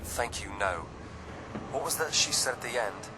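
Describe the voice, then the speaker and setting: An actor playing a serious, measured male ally in a game. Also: medium pitch, animated, music in the background, lightly acted. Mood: wary.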